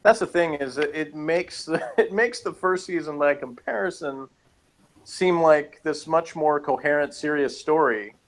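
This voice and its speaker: A man's voice: on the high side, unhurried. A man chatting casually as a reviewer, giving his opinions on an episode in a rambling way.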